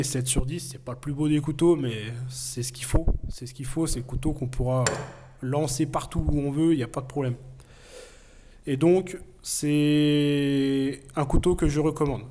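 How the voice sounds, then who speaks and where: A man with a cold talks through a knife review in a mellow, slightly high voice. He explains earnestly, pausing often on fillers as he goes. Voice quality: hesitant, many fillers. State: has a cold. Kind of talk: casual product review.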